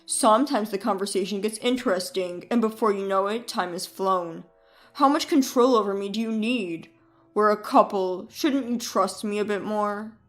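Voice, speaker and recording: Woman doing evasive cheating husband in a story narration, lower pitch, kinda exaggerated, faint music behind. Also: normal speed, lightly acted. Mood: defensive. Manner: exaggerated, pleading or dismissive. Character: evasive cheating husband, whiny and defensive when cornered. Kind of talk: dramatized audio story dialogue.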